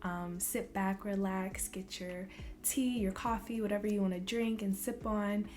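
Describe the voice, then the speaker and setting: A young woman from Louisville, Kentucky, chatting casually in a Q&A vlog, soft-spoken and relaxed with frequent ums. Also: medium pitch, a little slow. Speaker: vlogger. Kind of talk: casual Q&A chat.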